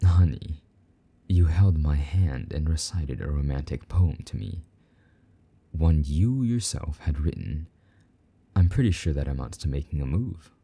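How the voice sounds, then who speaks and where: Casting: male actor as a smug, possessive demon boyfriend for intimate audio roleplay; bottomless low pitch, husky edge, teasing and doting delivery. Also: animated, measured pace, mellow, full character voice. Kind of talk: intimate scripted roleplay monologue.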